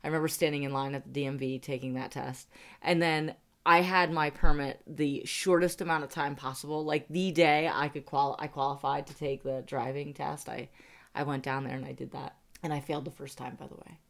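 A woman's voice: low voice, fairly even intonation, a little fast, vocal fry. A woman in her forties from Pennsylvania, co-hosting a casual money podcast, chatty, laughing often and poking fun at herself. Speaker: podcast co-host. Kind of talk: casual chat.

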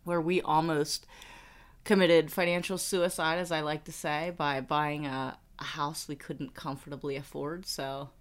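A woman's voice: deep voice, level tone, a little slow. A woman in her thirties with an American accent, co-hosting a podcast in a casual, chatty storytelling way. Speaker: podcast co-host. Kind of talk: casual personal finance podcast chat.

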